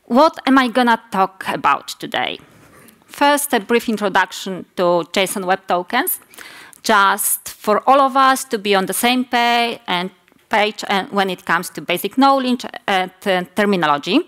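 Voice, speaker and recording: A Polish-accented woman in her forties gives a technical talk from a lectern on a conference stage. She speaks slowly and carefully, often pausing, correcting herself mid-word, and filling gaps with hesitant sounds, her voice sitting at a middling pitch. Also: expressive, fairly bright tone. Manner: measured, with frequent hesitations and self-corrections. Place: large conference hall stage.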